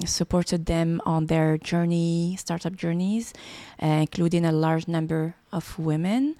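A woman, giving podcast interview answers. She speaks slowly in a low, gravelly voice, pausing often on fillers and restarting her phrases. The recording is close and dry. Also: warm tone, no echo. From Morocco. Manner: hesitant, many fillers and restarts. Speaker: podcast guest, startup programs director.

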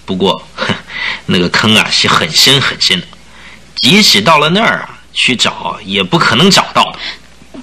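A sly, piercing-voiced villain. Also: male voice, fairly low pitch, big pitch swings, normal speed, pushed and bright, breathy edge, exaggerated voice. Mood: sly, gloating. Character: sly, cold-blooded male murderer recalled in flashback. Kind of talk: Mandarin radio drama acting.

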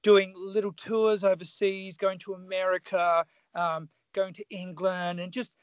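A man in his forties with an Australian accent, a guest on a radio interview, telling his story in a chatty, animated way full of fillers. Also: high-pitched, unhurried, clear, resonant tone, dry recording, highs cut off. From Tasmania, Australia. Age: 40s. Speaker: guest musician.

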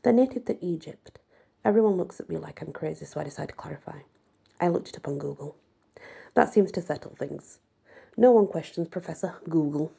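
A woman's voice: low voice, brisk, creaky, warm tone, breathy edge. A woman reading a first-person novel aloud as scripted audiobook narration. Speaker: audiobook reader.

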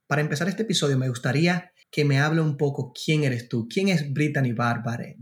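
A man's voice: a little high, fairly quick. A man hosting a podcast interview in a conversational way, often repeating and rephrasing as he talks. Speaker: podcast host.

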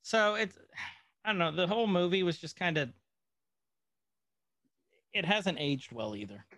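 A man's voice: high-pitched, big pitch swings, a little slow, pushed and bright, dry recording. A man co-hosting a podcast, chatting casually and with opinions about old movies. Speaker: podcast co-host.

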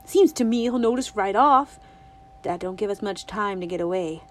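A woman voicing an eager young country boy who talks fast in a hushed, urgent, rustic drawl in an audiobook. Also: mid-range voice, animated, close to an everyday voice. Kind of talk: audiobook reading.

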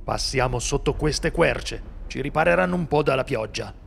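Dude doing a grim loner monster hunter, gravelly, kinda fry-ish. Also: male voice, mid-range voice, lively intonation, moderate pace, a little hiss or hum. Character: seasoned male monster hunter, brooding loner. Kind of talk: amateur radio drama acting.

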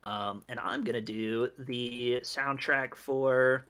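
A man's voice: medium pitch, fairly even intonation, slow, fairly bright tone, clean tone. A young man co-hosting a casual podcast, rambling with lots of ums and restarts. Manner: rambling with many fillers and restarts. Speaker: podcast co-host. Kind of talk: casual chat.

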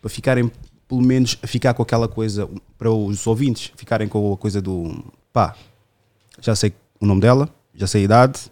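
Um homem com sotaque português europeu, anfitrião de podcast, numa conversa descontraída, com frases soltas, piadas e recomeços. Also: male voice, lowish voice, steady tone, normal speed, a little mellow. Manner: rambling, joking, with many restarts. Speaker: podcast host. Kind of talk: casual podcast interview.

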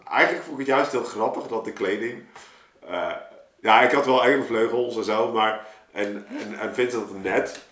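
Casting: man, lower-pitched voice, informal slangy podcast guest who meanders as he reflects, roomy echo on the recording. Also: normal speed, a bit bright, slight background noise. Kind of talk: casual reflective group conversation. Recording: echoey room.

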